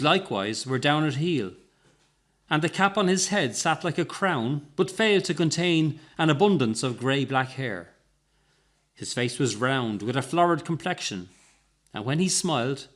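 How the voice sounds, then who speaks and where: A man's voice: fairly high pitch, fairly bright tone. A man narrating a scripted short story as its reader.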